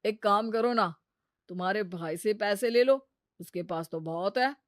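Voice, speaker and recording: Woman as slow-talking, wheedling gambler husband. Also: mid-range voice, no echo, clean studio sound, voice pushed down, close to an everyday voice. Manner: unhurried, self-justifying, sarcastic. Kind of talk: cartoon story character acting.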